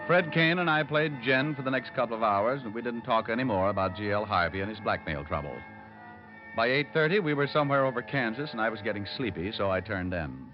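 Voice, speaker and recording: An actor playing a cocky, wisecracking New York private detective, narrating and bantering wryly in a vintage radio drama. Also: male voice, medium pitch, animated, a little fast, lo-fi sound, over music, close to an everyday voice. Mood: breezy, sardonic narration. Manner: wry, flippant, sardonic delivery. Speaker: detective and first-person narrator.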